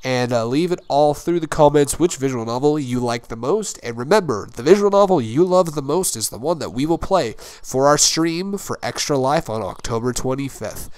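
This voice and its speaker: A man's voice: mid-range voice, lively intonation, normal speed, few pauses, a little mellow, clear, resonant tone. A young man gives casual let's-play commentary as the host of a gaming show. His delivery is playful and teasing, with plenty of joking around.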